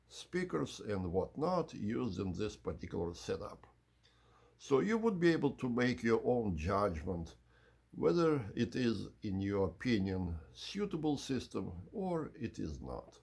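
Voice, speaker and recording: A man hosting an unhurried explanatory video tour in measured, slightly formal phrasing, with a husky, gravelly voice. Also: mid-range voice, a little mellow. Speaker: channel host giving an audio system tour.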